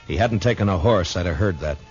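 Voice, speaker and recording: Tough frontier lawman, really low voice, blunt and steady, muffled old radio drama. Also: fairly quick, faint music behind. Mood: grim, reflective. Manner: blunt, terse, level-headed. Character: stern, plain-spoken Old West marshal, male, steady and resolute. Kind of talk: 1950s radio drama acting and first-person narration. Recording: muffled vintage radio recording.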